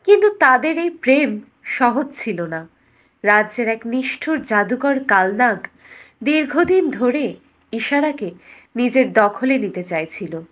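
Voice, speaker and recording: A woman with a fairly high voice narrates a fairytale. She delivers it as a scripted bedtime story, in the role of storyteller. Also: big pitch swings, measured pace, fairly bright tone.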